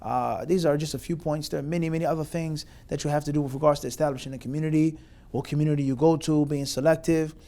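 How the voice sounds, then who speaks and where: A man from the United States with a somewhat high voice gives an emphatic lecture of advice as a mufti. He builds his points in long rhetorical lists and mixes in Arabic religious terms. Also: steady tone, fast talker, warm tone. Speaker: mufti giving advice to graduates.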